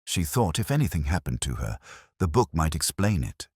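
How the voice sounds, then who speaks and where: An uneasy woman witness, as a deep-voiced male narrator reads her, confides earnestly in the police. The voice sits very low and is closely, dryly recorded, in an audio mystery style. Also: very animated, normal speed, slightly rough, no room sound, studio quality, full character voice. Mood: quietly resolved.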